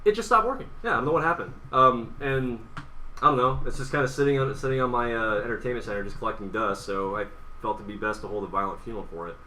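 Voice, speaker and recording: A man's voice: mid-range voice, quick pace. A man co-hosting a gaming podcast in casual, sometimes profane chat. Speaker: podcast co-host. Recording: distant mic, bright, faint background noise.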